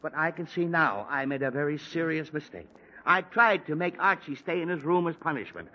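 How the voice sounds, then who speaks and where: Fussy headachy father, 1940s radio sitcom, lively swooping pitch, lo-fi broadcast sound. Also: a little fast. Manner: blustering, fussy, flustered outbursts. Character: exasperated middle-aged father with a headache. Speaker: radio actor. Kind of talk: 1940s radio comedy acting.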